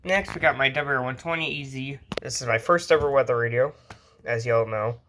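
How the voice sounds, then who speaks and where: A man's voice: medium pitch, fairly quick, fairly bright tone, a little hiss or hum. Casual, rambling male hobby vlogger. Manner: rambling, informal. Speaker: host showing a radio collection. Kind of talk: casual hobby show-and-tell.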